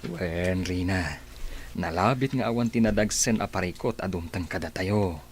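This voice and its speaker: A man acting as a calm, agreeable husband and father in a radio play. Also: deep voice, moderate pace, slight background noise, lightly acted.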